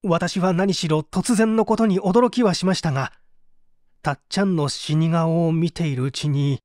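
A man's voice: on the high side, fairly even intonation, moderate pace, darkish tone, dry recording, clean studio sound, close to an everyday voice. A man playing an anguished painter confessing to an interrogator in a polite, emotive radio-drama reading. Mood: remorseful, subdued. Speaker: narrator and reader.

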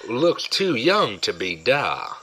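A man's voice: medium pitch, very animated, slow, bright, forceful tone, slightly rough, heavily acted. A man in an audio drama playing a shrewd, watchful fighter, cautious and scheming.

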